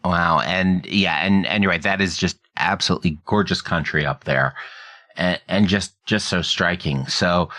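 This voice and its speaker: A man's voice: low voice, normal speed, bright, forceful tone. A middle-aged man, hosting a podcast with scripted introductions and conversational interviewing. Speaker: podcast host.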